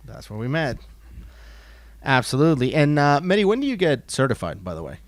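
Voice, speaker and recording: A man hosting a casual chat, animated and quick to jump in with questions. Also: mid-range voice, no room sound. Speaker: host.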